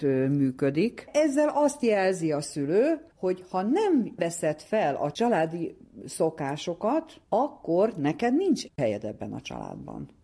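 Mély, lágy tónusú női szakértő. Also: female voice, sits low, warm tone. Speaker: psychologist, expert guest. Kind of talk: explanatory expert talk.

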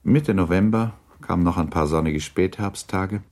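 Male narrator for literary radio play: low register, measured storytelling, slightly noisy recording. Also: darkish tone.

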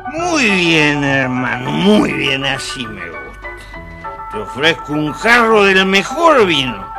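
An actor playing a hearty, coaxing, scheming older brother prince in a children's storybook recording. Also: male voice, on the high side, huge pitch swings, takes it slowly, vocal fry, music in the background, heavily acted. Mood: jovial, coaxing.

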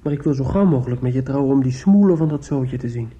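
An actor in a Dutch radio play playing an earnest young suitor, protective and quietly vengeful, probing and insistent with some hesitation. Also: male voice, medium pitch, fast, slightly rough, lightly acted. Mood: bitter, vengeful. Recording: muffled, lo-fi old radio recording.

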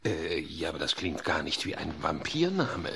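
Swooping, creaky, genial middle-aged psychologist. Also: male voice, a bass voice, sing-song, moderate pace, a bit bright, slight background noise, full character voice. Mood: amused, puzzled. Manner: hesitant, chuckling, coaxing. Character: genial, courteous, slightly bumbling male psychologist. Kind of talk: German children's audio drama acting.

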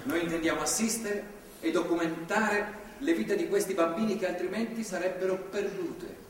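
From far off in a reverberant theatre hall comes a man acting as a zealous, controlling priest. His voice is notably high for a man, measured and paternalistic, preaching with fervour as in a stage play. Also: fairly even intonation, natural voice. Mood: solemn, didactic. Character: fervent, controlling anti-abortion priest holding a woman captive. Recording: echoey theatre hall, distant mic, faint noise.

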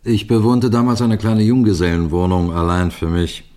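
A seasoned, composed detective superintendent , played by a male actor with a very low voice. He speaks in a measured, courteous and suspenseful way, in the manner of a radio crime play. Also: monotone, mellow, close to an everyday voice. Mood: tense storytelling. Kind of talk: radio crime drama narration and dialogue.

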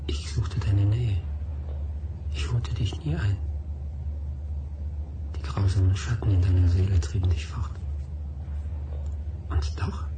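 An actor playing a grieving man who recalls a lost lover in a hushed, whispered inner monologue for a radio drama. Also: deep voice, noisy background.

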